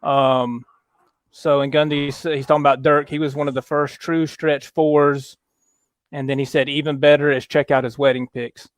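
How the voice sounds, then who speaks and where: A man hosting a casual sports chat show, talking in a relaxed, halting way with many fillers. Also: fairly high pitch, dry recording. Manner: relaxed, halting, lots of fillers, reads viewer comments aloud. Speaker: podcast host.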